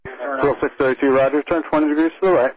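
Man, air traffic controller, quick delivery, calm, reassuring, procedural, muffled radio channel. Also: mid-range voice, crowd noise.

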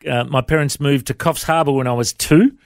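An Australian man hosts an interview, chatty and affirming, often saying "there you go". His voice is mid-pitched. The recording is dry and close. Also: fairly even intonation, a little fast, vocal fry, no echo. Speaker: podcast host. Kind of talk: interview hosting.

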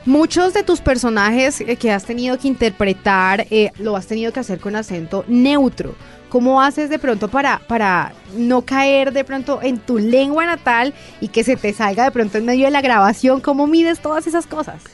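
Female interviewer, friendly, slightly high pitch, light music bed. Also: animated, moderate pace. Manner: friendly, laughs easily. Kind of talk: interview questions.

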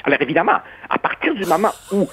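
Lively husky man on dull radio audio. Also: high-pitched. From Uruguay. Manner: measured, expressive, stammering restarts. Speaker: radio columnist. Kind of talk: opinionated radio debate.

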